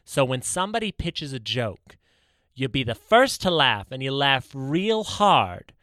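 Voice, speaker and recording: A man's voice: medium pitch, sing-song, unhurried, pushed and bright, no echo, studio quality. A man co-hosting a comedy podcast with casual banter, speaking in an emphatic, theatrical stand-up comic's way.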